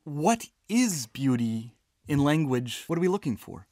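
A man's voice: a little high, very animated, unhurried, a little mellow, dry recording, studio quality. A man co-hosting a podcast, speaking in a reflective, casual way and wrapping up the segment. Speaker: podcast co-host.

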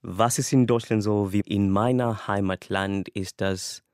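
A man's voice: lowish voice, steady tone, measured pace, darkish tone, no room sound, studio quality. A young man from Kenya, a language student whose first languages are English and Swahili, giving a casual, earnest personal account and laughing lightly between thoughts.

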